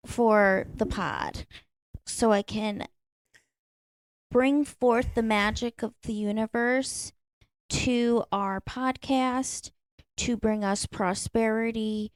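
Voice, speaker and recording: A woman's voice: on the high side, a little mellow, dry recording, poor audio. A woman in her late thirties co-hosting a podcast, playfully defending herself and talking earnestly in casual chat at home. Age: 30s. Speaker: podcast co-host. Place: apartment room, recorded together in person.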